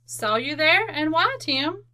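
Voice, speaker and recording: A woman's voice: sits high, sing-song, slow, bright, forceful tone, heavily acted. An actress playing a fond, bustling, outspoken working-class mother in a radio drama. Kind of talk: old-time radio drama acting.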